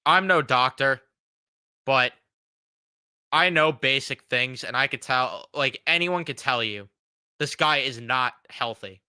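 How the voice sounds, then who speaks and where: A man in his twenties vents with blunt, frustrated, often profane commentary, though he is getting over a cold. His voice is very bright and crisp. The sound is close and dry. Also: mid-range voice, slow, long pauses, no echo. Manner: blunt, frustrated, swears freely. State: fighting a cold. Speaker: sports fan show host. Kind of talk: casual reaction commentary.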